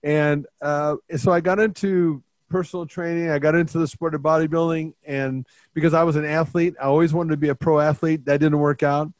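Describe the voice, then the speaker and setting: A man's voice: fairly high pitch, flat delivery, brisk, hardly any pauses, no echo. An older man hosting a casual interview, telling stories and giving emphatic advice. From Portuguese family, dairy upbringing. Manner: emphatic, storytelling, gives advice. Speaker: host, bodybuilding coach.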